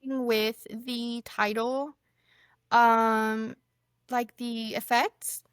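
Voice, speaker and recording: A woman from Mexico, whose first language is Spanish, chatting as a guest author and retelling conversations in a lively, storytelling way. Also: fairly high pitch, extremely slow, clean tone, no room sound, studio quality. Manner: chatty storyteller, quotes people often. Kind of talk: casual podcast chat.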